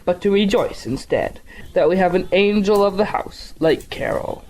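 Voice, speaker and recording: A man's voice: sits high, expressive, moderate pace, darkish tone, poor audio. A man playing a humbled, loving father with solemn, tender delivery in a period audio drama. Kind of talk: family audio drama acting.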